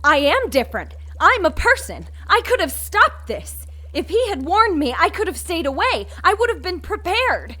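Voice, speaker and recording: A high-pitched actress as a reanimated mother in an audio drama. She is a proud, anguished undead woman with a monstrous hunger. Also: big pitch swings, normal speed, few pauses, sharp tone, heavily acted. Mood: indignant, hurt.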